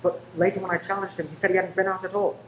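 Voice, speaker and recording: A woman's voice: lowish voice, steady tone, racing, slight background noise, lightly acted, low-quality recording. A woman playing a cheeky, chatty undercover detective posing as a greengrocer's man in an amateur radio play. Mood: earnest.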